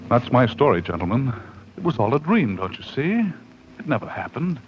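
A man's voice: medium pitch, big pitch swings, normal speed, close to an everyday voice. An actor playing a haunted man unravelling into madness, melodramatic and overwrought in 1940s radio horror style. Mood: pleading. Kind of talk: 1940s radio horror acting, dramatic narration. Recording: muffled, faintly noisy 1940s radio recording.

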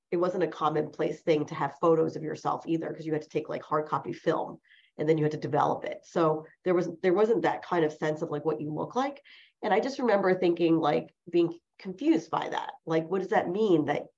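Low, gravelly woman lawyer, lo-fi. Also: a little mellow. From New Jersey, USA. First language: Chinese. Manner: reflective, rambling, with many self-corrections. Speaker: lawyer, guest. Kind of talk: personal storytelling in an interview. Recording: dull lo-fi recording.

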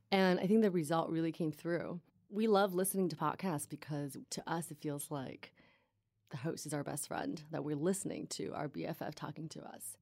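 A woman speaks in a very low voice, offering conversational commentary on a podcast she oversees. The sound is dry, with no room echo. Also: a little mellow. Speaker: podcast executive director.

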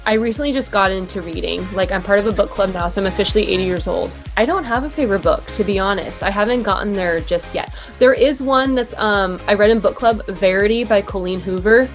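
Young woman, casual interview guest, chatty, upbeat, middle pitch, faint music behind. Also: a little fast, hardly any pauses. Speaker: guest, former sports anchor.

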